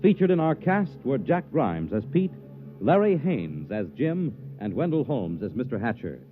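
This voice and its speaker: Dramatic American radio announcer, hugely varied pitch. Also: male voice, big pitch swings, moderate pace. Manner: formal, declamatory. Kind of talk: 1950s radio announcing, scripted. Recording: tinny old radio recording with music.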